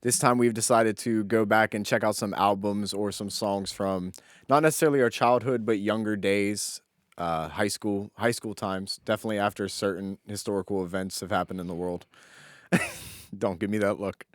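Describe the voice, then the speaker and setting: A man's voice: fairly low pitch, fairly even intonation, moderate pace, dry recording, clean studio sound. A man in his twenties co-hosting a podcast in a blunt, informal, slangy way. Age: 20s. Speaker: podcast co-host. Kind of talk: casual podcast chat.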